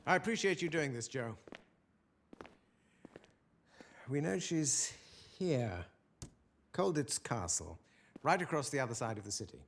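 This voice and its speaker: An actor playing a commanding, urgent Allied officer in a military video game, speaking in clipped, formal phrases. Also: male voice, mid-range voice, very animated, moderate pace, a little hiss or hum. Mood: grave, urgent. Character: urgent, commanding male Allied army officer briefing a general.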